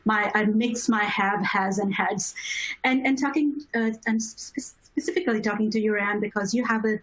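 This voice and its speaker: Husky-voiced Pakistani woman moderating. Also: mid-range voice, fairly bright tone, rough recording. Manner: reflective, measured, asks follow-up questions. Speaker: panel moderator. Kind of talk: online panel discussion.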